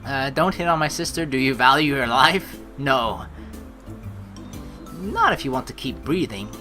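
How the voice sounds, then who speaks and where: A woman narrating a game playthrough, with animated, jokey asides and some swearing. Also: a bass voice, a little slow. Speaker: let's play streamer. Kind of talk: playthrough narration with casual reactions. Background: background game music.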